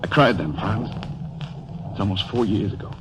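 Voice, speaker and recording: An actor playing an anguished, bitter young German soldier in a 1930s radio drama. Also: male voice, fairly low pitch, normal speed, close to an everyday voice, poor audio. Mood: weary. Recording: old 1930s radio broadcast.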